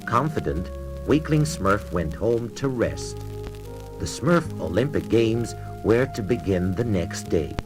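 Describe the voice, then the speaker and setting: A man narrates a children's read-along record over music. His voice is fairly low and unhurried, with lively rises and falls in pitch. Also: low-quality recording. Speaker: storybook narrator.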